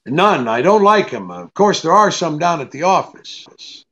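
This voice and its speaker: An actor playing a wary, hard-boiled private detective, curt and sardonic, in 1940s radio drama style. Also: male voice, a little high, very animated, measured pace, a bit bright, lo-fi sound, exaggerated voice. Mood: irritated, defiant.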